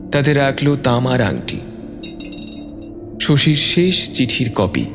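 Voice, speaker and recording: A man narrating a scripted story in a dramatic storytelling style. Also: mid-range voice. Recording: long reverb, music bed underneath.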